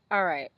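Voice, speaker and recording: A woman's voice: pushed and bright, no echo. A young woman vlogging outdoors at night, explaining things in a loose, chatty way full of ums. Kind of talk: casual explanatory vlog.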